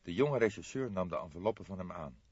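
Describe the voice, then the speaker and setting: Low, lively male audiobook narrator. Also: brisk, breathy edge, dry recording, muffled recording. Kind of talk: scripted story narration.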